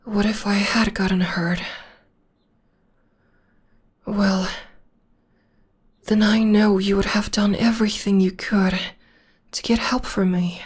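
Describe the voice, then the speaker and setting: Soothing young witch girlfriend, voiced by a woman, breathy slow half-whisper, close mic ASMR. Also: mid-range voice, fairly even intonation. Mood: tender, reassuring. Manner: soft half-whispered comforting. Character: gentle young witch girlfriend, devoted, reassuring. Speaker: voice actor. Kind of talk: ASMR audio roleplay.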